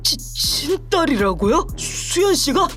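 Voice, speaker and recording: A woman's voice: sits high, big pitch swings, takes it slowly, fairly bright tone, heavily acted. A woman playing a smug, scheming deputy manager in a Korean audio drama, with an oily, condescending manner. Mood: flustered, defensive. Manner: oily, self-satisfied, condescending. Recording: background music under the voice.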